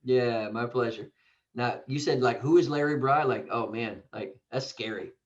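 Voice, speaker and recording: A man's voice: mid-range voice, normal speed. An American man, a pastor appearing as a podcast guest, talking casually in an effusive, playful, informal way. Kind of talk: casual conversational interview. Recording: dull, slightly lo-fi audio.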